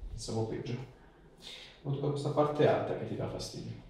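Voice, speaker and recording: A man's voice: mid-range voice, expressive, a little fast, gravelly, darkish tone. A man in his thirties, an osteopath giving a patient instructions and chatting casually during treatment. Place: small indoor clinic treatment room. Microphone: camera a few steps away. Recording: echoey treatment room, distant mic, background noise.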